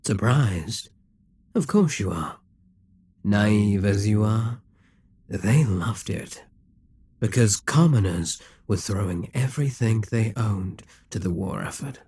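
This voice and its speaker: A man voicing an immortal, scheming and possessive demon king in an audio roleplay, speaking in a measured, persuasive way with quiet whispered asides. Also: lowish voice, lively intonation, slightly rough, dry recording, full character voice.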